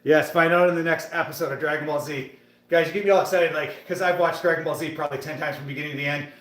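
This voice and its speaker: Livestream fitness host, man in his thirties, slightly high voice, loose hyped chat, roomy echo. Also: steady tone, fast, fairly bright tone. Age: 30s. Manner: chatty, hyped, talks to viewers in the chat. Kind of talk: casual live-stream chat while working out.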